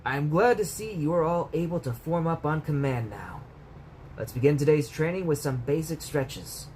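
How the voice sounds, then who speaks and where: A man voicing a stern, brisk middle-aged martial arts teacher in a storybook read-aloud. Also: fairly high pitch, expressive, darkish tone, natural voice. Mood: brisk approval. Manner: commanding, brisk. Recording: clear background noise.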